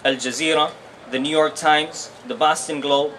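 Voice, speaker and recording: A man's voice: on the high side, steady tone, moderate pace, a bit bright. A man acting as event emcee, making announcements and reading out a formal introduction. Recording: noisy live event recording.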